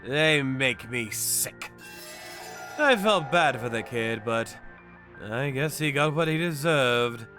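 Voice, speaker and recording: A male actor voicing a stern, brooding avenger who narrates a manga dub in a deliberate, dramatic way. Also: medium pitch, expressive, bright, forceful tone, soft background music. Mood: grim, somber. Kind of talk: dramatic scripted first-person narration.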